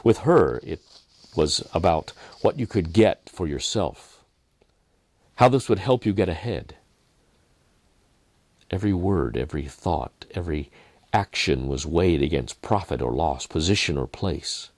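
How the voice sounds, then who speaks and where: A man reading his own Christmas story in a dramatic, expressive way, with deliberate pauses. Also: low-pitched, no room sound. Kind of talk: scripted Christmas story narration.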